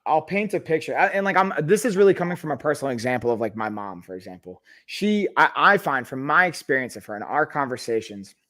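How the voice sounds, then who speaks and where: A man's voice: mid-range voice, big pitch swings, quick pace, hardly any pauses, bright, forceful tone. A man hosting a podcast, thinking aloud in a rambling, thoughtful way, full of fillers and restarts. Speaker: podcast host. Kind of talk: casual podcast conversation.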